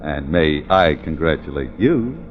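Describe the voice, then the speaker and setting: A man's voice: extremely low pitch, big pitch swings, unhurried, exaggerated voice. An actor playing a courteous, bookish policeman with a formal, pedantic manner, in 1940s radio acting style. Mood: amiably firm. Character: polite, bookish policeman who shows off big words. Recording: dull, noisy old radio broadcast.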